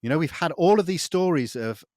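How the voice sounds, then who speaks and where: A man's voice: a little high, expressive, a little fast, crowd noise. A man hosting an interview in a conversational, agreeable way, affirming what the guest says. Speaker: interview host.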